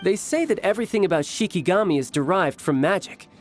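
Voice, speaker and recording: Cocky smooth young fighter, anime game style, teasing, really lively pitch, faint music. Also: male voice, fast talker. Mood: curious, genial. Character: suave playful young male warrior, cocky and teasing.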